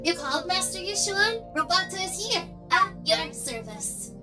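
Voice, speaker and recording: A woman's voice: very high-pitched, animated, a little slow, music in the background. A woman voicing an eager, polite little robot butler for a visual novel game, with a glitchy stutter. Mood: eager, servile. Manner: stuttering, servile.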